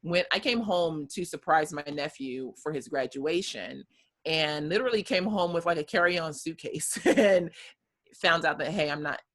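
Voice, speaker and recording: A woman's voice: deep voice, moderate pace, fairly bright tone. An American woman in her thirties, a guest telling her story in casual conversation, with many fillers and easy laughs. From United States.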